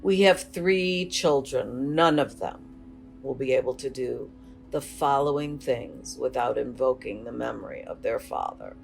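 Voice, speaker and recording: Low, creaky-voiced woman giving a eulogy. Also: expressive, measured pace, soft background music. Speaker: bereaved spouse giving a eulogy. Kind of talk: prepared memorial speech.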